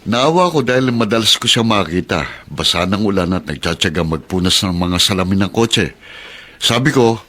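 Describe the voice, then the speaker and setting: A man playing a gruff but kindhearted taxi driver in a radio drama, talking in a casual, blunt, teasing way. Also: deep voice, animated, normal speed, pushed and bright, heavily acted. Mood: explaining. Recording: clear vehicle noise behind.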